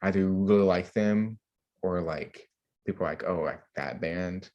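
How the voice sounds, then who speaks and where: A man co-hosting a podcast, chatting casually in a rambling, halting way with frequent filler words. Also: low-pitched, darkish tone, lo-fi sound. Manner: rambling, halting, filler-heavy delivery with many "like"s. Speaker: podcast co-host. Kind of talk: casual group chat.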